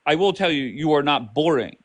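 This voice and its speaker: Live panel moderator, man, blunt and quick to cut in, higher voice, dry sound. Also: animated, dry recording. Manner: blunt, interrupting. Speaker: live stream host.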